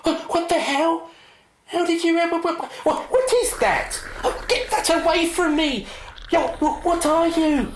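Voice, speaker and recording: A woman's voice: very high-pitched, expressive, a little slow, roomy sound, poor audio. A British woman playing a chatty, flustered young receptionist in a radio play, polite and hesitant. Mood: panicked. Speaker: actor.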